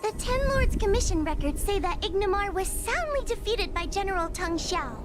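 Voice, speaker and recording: A woman's voice: very high-pitched, lively intonation, normal speed, music in the background. A woman voicing a tiny, timid and earnest fox girl in a video game, with a childlike, plaintive way of talking. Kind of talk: video game character acting.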